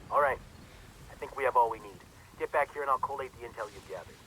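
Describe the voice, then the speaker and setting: An actor playing a grim, determined male intelligence informant who briefs soldiers in a measured way in a video game. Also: mid-range voice, huge pitch swings, gravelly, a bit bright, a little hiss or hum, full character voice, rough recording. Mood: resolved. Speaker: intelligence handler briefing a squad. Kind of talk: video game cutscene acting.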